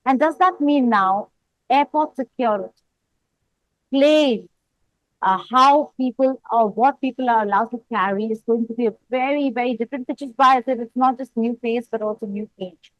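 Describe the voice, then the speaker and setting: Female news interviewer, fairly high voice, deliberate and emphatic questioning, very dry studio-like sound. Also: dry recording, muffled recording. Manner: deliberate, builds long questions with emphasis on key words. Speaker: news anchor interviewing a guest.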